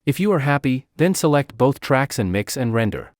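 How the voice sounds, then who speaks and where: A man giving a scripted software tutorial as a narrator, step by step. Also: medium pitch, sing-song, normal speed.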